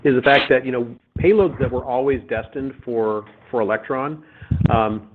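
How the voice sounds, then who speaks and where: A man speaking as a company executive, giving unscripted, halting business answers with repeated words on a conference call. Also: mid-range voice, noisy background. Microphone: conference call line. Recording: phone-like narrow-band conference call audio.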